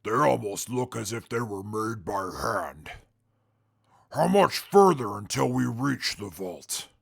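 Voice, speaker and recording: A man playing a stern, guarded wasteland character in an audio drama, speaking formally and deadpan. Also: on the low side, takes it slowly, vocal fry, slightly rough, exaggerated voice. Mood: curious.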